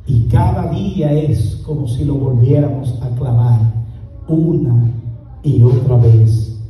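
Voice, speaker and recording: A man preaching an impassioned sermon to a congregation, shouting and drawing out words for emphasis. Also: mid-range voice, takes it slowly. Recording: very echoey, from far off.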